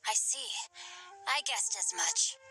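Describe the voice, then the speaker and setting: A man voicing a composed, clever young swordsman in a video game, speaking in a calm, measured way. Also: extremely high pitch, vocal fry, heavily acted, low-quality recording. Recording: game audio with music behind.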